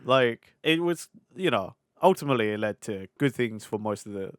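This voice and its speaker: A man's voice: mid-range voice, lively intonation, moderate pace, smooth, no echo. Male podcast co-host, informal film talk, mid-range voice, acts out reactions, close dry recording. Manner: informal, acts out reactions in quoted speech, swears casually. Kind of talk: casual film review chat.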